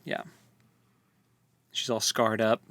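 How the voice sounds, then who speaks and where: A man's voice: lowish voice, level tone, slow, fairly bright tone. A man co-hosting a casual book discussion podcast, hesitant and full of restarts as he talks through a story. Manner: hesitant, many fillers and restarts. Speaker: podcast co-host.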